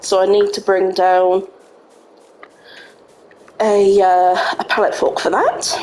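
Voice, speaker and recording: A woman hosting a farming game let's-play in casual running commentary, her voice a little croaky, chatting to herself as she works. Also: mid-range voice, a little mellow, a little hiss or hum, poor audio. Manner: chatty, talks to herself while playing. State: slightly croaky voice. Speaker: gaming channel host. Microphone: home desk microphone.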